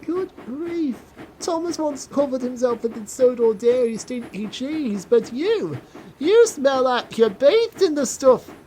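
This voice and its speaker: A woman's voice: high-pitched, animated, a little slow, mellow, a little hiss or hum, voice pushed up, low-quality recording. A woman voicing a vain, haughty male steam engine in a children's audio drama, complaining and exclaiming. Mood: disgusted.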